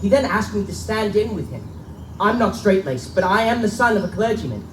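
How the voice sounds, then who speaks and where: A man playing an anxious, upright young gentleman who speaks in urgent, guarded, formal warnings at a live dramatic reading in an echoing room. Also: sits high, big pitch swings, a little fast. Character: Lee, anxious upright young clergyman's son warning a friend. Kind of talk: live dramatic reading of gothic pulp tale. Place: live stage reading in a bookstore. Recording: echoey live recording, distant mic, faint noise.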